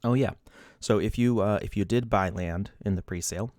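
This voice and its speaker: A man in his thirties hosts a casual livestream from his desk, chatting loosely and laughing as he reads the chat. His voice sits low, and he is a bit sniffly. The sound is close and dry, with no room around it. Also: moderate pace, darkish tone, dry recording. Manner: rambling, laughs often, restarts, reads chat. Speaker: livestream host.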